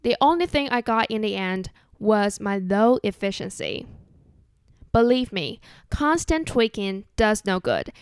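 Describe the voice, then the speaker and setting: A young woman speaks with a Chinese accent, hosting her own podcast in a calm, earnest and conversational way. Her voice is smooth and clear at a middle pitch. It is recorded close and dry, with no room sound. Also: dry recording. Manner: earnest, measured, relaxed and conversational. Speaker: podcast host. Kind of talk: solo podcast monologue.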